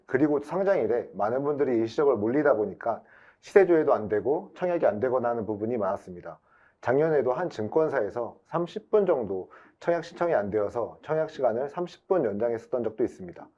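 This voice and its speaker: A man's voice: medium pitch, animated, fast, mellow, dull audio. A man giving a formal, explanatory prepared webinar presentation as an IT specialist.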